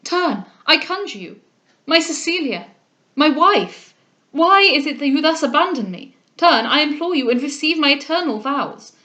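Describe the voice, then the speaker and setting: Woman as an impassioned, pleading young gentleman lover. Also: sits high, animated, moderate pace, pitched above the performer's own voice. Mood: vehement pleading. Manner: vehement, imploring, urgent exclamations. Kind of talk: dramatic audiobook character reading.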